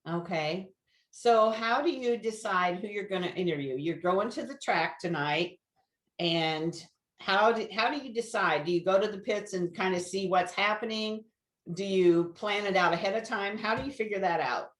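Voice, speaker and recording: A woman's voice: medium pitch, normal speed, a bit bright, roomy sound. A woman hosting a podcast, asking probing questions one after another. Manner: probing, stacks several questions. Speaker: podcast host. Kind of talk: interviewer questions.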